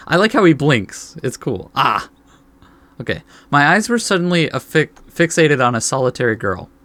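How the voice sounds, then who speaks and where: A man's voice: mid-range voice, fairly bright tone, dry recording. A man hosting a let's play, reading a visual novel aloud with informal commentary and stumbling over the text now and then.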